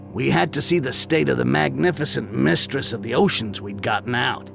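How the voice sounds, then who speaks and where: A man voicing a cynical, foul-mouthed goblin mercenary in a video game, gravelly and sardonic. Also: mid-range voice. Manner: sardonic, streetwise, crude. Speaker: character narrator.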